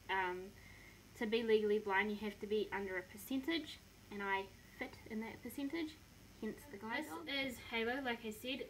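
A woman's voice: on the high side, a little hiss or hum, poor audio. A woman in her twenties with a New Zealand accent, chatting casually to a phone camera in her bedroom, self-mocking and upbeat. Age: 20s. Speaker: vlogger. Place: small bedroom, indoors. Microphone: phone camera close to the face.

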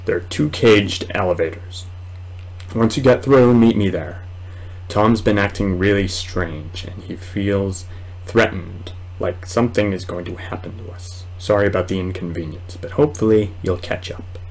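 A young man giving casual, excitable commentary while playing a horror game, muttering and exclaiming to himself. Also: lowish voice, moderate pace, rough recording. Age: young adult. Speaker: gamer recording a let's play. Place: small indoor room at home.

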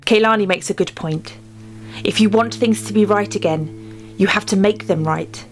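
A woman's voice: medium pitch, moderate pace, natural voice. A woman playing an earnest, commanding young warrior queen in a fantasy drama. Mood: determined. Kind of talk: dramatic fantasy fan-dub acting. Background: faint music.

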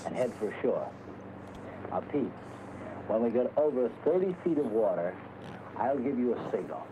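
A man in a 1960s film, delivering dialogue and voice-over narration in a smooth, wry, self-assured way. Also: mid-range voice, lively intonation, normal speed, highs cut off. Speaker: actor in an old film. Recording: old film soundtrack with clear hiss.

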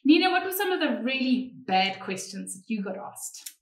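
Animated South African mother presenting at a dining table, roomy echo, bright high voice. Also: moderate pace. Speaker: missionary mother. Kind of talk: scripted presenting. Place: home dining room, indoors. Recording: echoey room.

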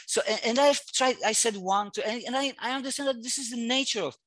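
A man in his forties, a Greek native speaker, joins a video interview from a room at home through a headset mic. He keeps pausing, restarting and hunting for words, with a lot of fillers. The sound is dry and close. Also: very high voice, fairly bright tone, no room sound. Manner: halting, searching for words with many fillers and restarts. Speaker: guest artist. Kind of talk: casual interview conversation. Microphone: headset on video call.

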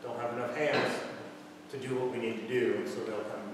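Fire officer, plain explainer, middle pitch, caught from across a big echoey bay with noise behind. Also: male voice, steady tone, fairly quick. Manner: plain explanatory, talks through details. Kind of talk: answering questions at a public meeting. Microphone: far camera. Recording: echoey hall, distant mic, background noise.

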